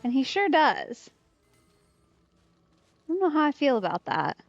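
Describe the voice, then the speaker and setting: A woman gives casual game commentary in a highish, very animated voice. She talks at an unhurried pace, playfully thinking aloud, on a slightly dull microphone. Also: creaky, no echo. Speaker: game streamer. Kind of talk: casual let's play commentary. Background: faint game music.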